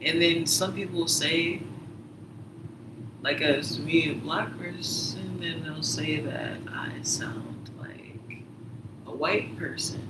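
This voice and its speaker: Rambling man, raised pitch, echoey and distant. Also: slow. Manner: rambling, many fillers, drops to a whisper. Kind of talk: casual storytelling chat. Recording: roomy, distant mic, noisy background.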